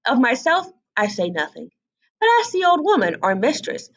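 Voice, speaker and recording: A woman's voice: high voice, very animated, moderate pace, a bit bright, voice pushed up, heavily acted. A woman voicing a bossy, conceited hen in a storybook, scolding and lecturing with haughty emphasis. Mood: scornful. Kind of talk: storybook read-aloud character voice.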